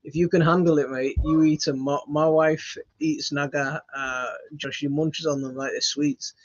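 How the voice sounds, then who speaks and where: A man's voice: on the high side, steady tone, a little slow, no echo, lo-fi sound. Chatty Yorkshire man in his thirties. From Leeds, Yorkshire, England. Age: 30s. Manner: rambling and chatty, with many fillers and enthusiastic repeats. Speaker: gardening channel host. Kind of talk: casual live-stream chat answering viewer comments. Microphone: phone.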